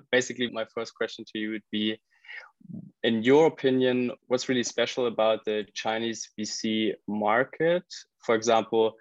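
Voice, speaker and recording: A man's voice: medium pitch, no room sound, dull audio. A man hosting a podcast, interviewing guests in a conversational style. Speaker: podcast host.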